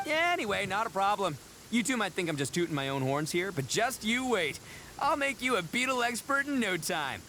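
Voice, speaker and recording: An actor voicing a boisterous horned oni man, a cocky braggart with loud laughs. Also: high-pitched, sing-song, moderate pace, a little hiss or hum, heavily acted, low-quality recording. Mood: bragging. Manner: boastful, loud laughs, brash. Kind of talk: game voice acting. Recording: game dialogue over music.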